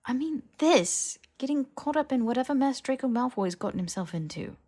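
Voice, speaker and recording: Woman reader as exasperated young woman, anxious friend, brisk lecturing delivery, incredulous, audiobook character. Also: sits high, lively intonation, warm tone, voice pushed up. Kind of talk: dramatized audiobook dialogue.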